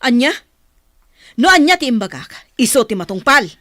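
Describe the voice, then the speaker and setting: An actress as a hard, resentful mother in a radio drama. Her fairly high voice scolds and orders, sounding close in a dry recording. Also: very animated, moderate pace, a bit bright, no echo. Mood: stern, commanding. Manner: scolding, commanding. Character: harsh, domineering mother who resents her adopted son. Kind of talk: Ilocano radio drama acting.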